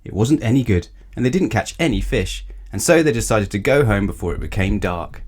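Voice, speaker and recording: Male storyteller for kids' picture books: lowish voice, very lively intonation, talks straight to the child. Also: fairly quick. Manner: expressive, addresses young listeners directly. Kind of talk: children's picture book read-aloud.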